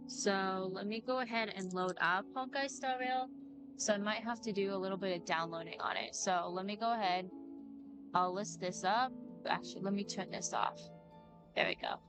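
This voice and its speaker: A woman's voice: mid-range voice, moderate pace. A young woman chatting casually as a game streamer, informal and talkative. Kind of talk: casual livestream chat. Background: game music.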